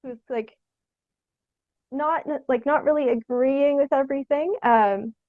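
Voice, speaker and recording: A young woman from Canada, chatting casually in an interview as a theatre artist, haltingly thinking aloud with lots of "like". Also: high voice, no echo, phone-quality sound. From Sault Ste. Marie, Canada. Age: young adult.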